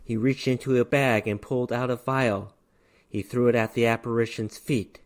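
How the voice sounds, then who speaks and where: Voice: medium pitch, fairly even intonation, moderate pace. A narrator giving a dramatic scripted reading of a short story.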